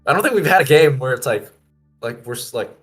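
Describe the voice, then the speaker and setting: A man's voice: medium pitch, animated, soft background music. Rambling male player full of fillers. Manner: rambling, halting, many fillers. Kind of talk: casual post-game chat.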